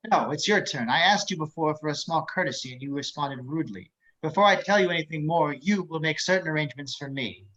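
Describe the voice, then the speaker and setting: A man playing a cultured, menacing killer psychiatrist in a dramatic table read, measured and taunting, with theatrical pauses. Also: fairly high pitch, pushed and bright. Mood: controlled, demanding. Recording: dull lo-fi video call.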